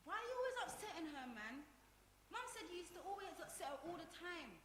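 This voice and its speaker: Woman acting cheeky outspoken young relative, high sharp voice, roomy echo. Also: sing-song, quick pace, full character voice. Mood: cheeky, challenging. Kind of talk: stage play acting, casual dialogue. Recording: echoey room, faint noise.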